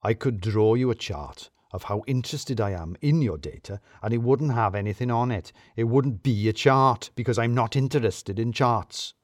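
A man plays a sardonic office colleague from an audio story. The delivery is slow, deadpan and faintly menacing, recorded very dry. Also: mid-range voice, dry recording, close to an everyday voice. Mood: deadpan scorn.

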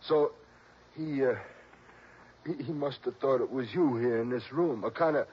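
An actor playing a nervous, simple informant in an old radio drama, halting and repeating words in a husky voice. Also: male voice, medium pitch, lightly acted. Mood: worried, weary. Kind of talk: 1950s-60s radio drama acting. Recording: dull old radio recording.

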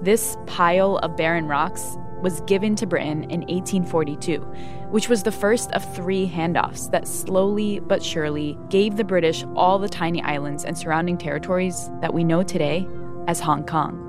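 A woman's voice: lowish voice, normal speed, music in the background. Woman narrating a history explainer. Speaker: video host and narrator.

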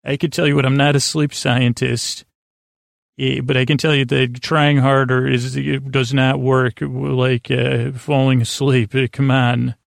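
Creaky, halting man rambling. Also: medium pitch, little pitch movement, no echo, clean studio sound. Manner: halting, stammering, meandering with repeated false starts. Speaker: podcast host. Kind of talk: rambling bedtime podcast monologue.

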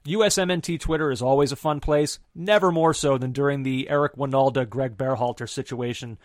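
A man's voice: medium pitch, a little fast, no room sound. Upbeat man hosting a podcast, dry mic. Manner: enthusiastic, steers the show, rambling asides. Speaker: podcast host. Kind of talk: casual soccer podcast hosting.

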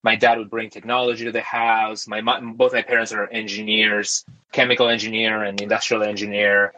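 A young man from Argentina, a guest talking casually in an interview, relaxed and talkative with frequent fillers and restarts. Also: on the low side, fairly quick, dull audio. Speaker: guest, tech founder.

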